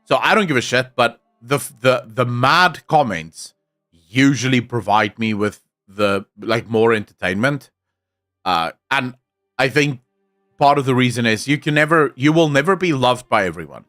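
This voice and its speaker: A South African man with a soft accent talks casually to his stream, answering chat in a candid, blunt way and swearing now and then. Afrikaans is his first language, though his English comes out smooth, at an everyday middle pitch. Faint music plays behind him. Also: lively intonation, normal speed. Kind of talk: casual live-stream chat, reading comments.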